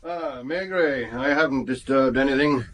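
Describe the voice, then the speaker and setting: An actor playing a local police inspector who speaks in a measured, faintly barbed way in a radio drama. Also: male voice, mid-range voice, a bit bright, no echo, natural voice. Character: local police inspector on the phone, dryly pointed.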